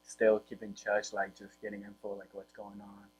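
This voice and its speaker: A teenage young man with a Congolese accent answering interview questions casually and haltingly, in informal speech. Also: lowish voice, completely flat delivery. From Democratic Republic or Republic of the Congo. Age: teens. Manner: halting, informal, leans heavily on "like". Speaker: high school football player being interviewed. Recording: muffled, with faint electrical hum.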